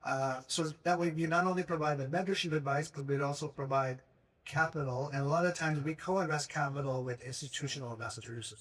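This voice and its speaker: A man's voice: a little high, steady tone, fairly quick, a bit bright, husky. Hesitant man heard from afar. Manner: hesitant, many fillers. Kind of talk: event presentation. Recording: voice far from the mic.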